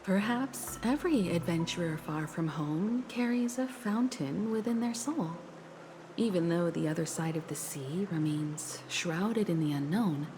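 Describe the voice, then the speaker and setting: A woman playing a composed, thoughtful, poetic woman in a video game story, speaking in a measured, reflective way. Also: fairly low pitch, background noise.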